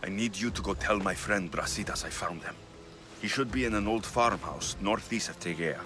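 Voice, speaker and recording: Guy acting tough young greek mercenary, lowish voice, blunt and determined, game music under it. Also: normal speed, natural voice. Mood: resolute. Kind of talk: video game cutscene acting. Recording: loud music behind the voice.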